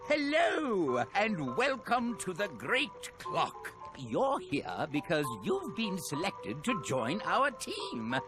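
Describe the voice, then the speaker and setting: High, swooping, genial alien inventor. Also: male voice, huge pitch swings, slow pace, few pauses, over music, exaggerated voice. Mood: genial, fumbling. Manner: hesitant, rambling, theatrical, chuckles at own jokes. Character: genial, doddering alien inventor, founder of a great clock. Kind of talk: animated video game orientation narration and dialogue.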